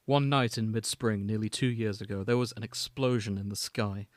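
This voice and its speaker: A man's voice: lowish voice, normal speed, dry recording, studio quality. A man co-hosting a podcast, chatting casually about fanfiction and reading passages aloud. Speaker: podcast co-host.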